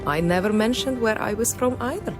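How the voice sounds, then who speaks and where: A woman's voice: fairly high pitch, a little fast, music in the background, lightly acted. An actress playing a reserved, gracious lady traveller, polite and composed, in a video game. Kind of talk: video game dialogue acting.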